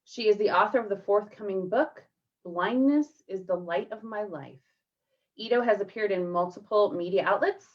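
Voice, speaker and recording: A woman's voice: medium pitch, moderate pace. Distant, muffled woman host. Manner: apologetic when handling tech trouble. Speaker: event host. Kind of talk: reading a speaker introduction. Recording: distant, dull online call audio.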